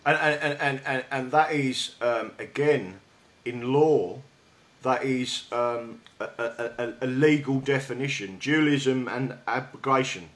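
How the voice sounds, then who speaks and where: A man's voice: medium pitch, measured pace, fairly bright tone. A man with a South London accent hosting a radio show, talking in a casual, hesitant way with many restarts. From England. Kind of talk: casual interview chat. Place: radio studio. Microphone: studio mic with big headphones.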